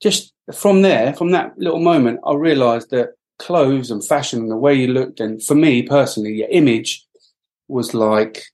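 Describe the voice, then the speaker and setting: A man's voice: medium pitch, moderate pace, darkish tone. A middle-aged man from Wales, a podcast guest, talking reflectively with many fillers and restarts. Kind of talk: reflective interview talk.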